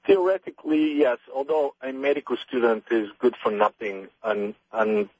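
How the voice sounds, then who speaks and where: A man's voice: mid-range voice, lively intonation, moderate pace, a little mellow, slightly rough, no echo, poor audio. A young man whose first language is Bulgarian, calling into a radio show and speaking hesitantly with many ums. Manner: hesitant, lots of ums, earnest. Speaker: caller. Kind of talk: phone-in call.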